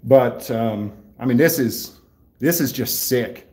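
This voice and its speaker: A man livestreaming from a sheriff's office lobby, talking loudly and casually and rambling with many fillers and restarts. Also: mid-range voice, slow pace. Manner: loud voice, by his own account. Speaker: livestreaming channel host.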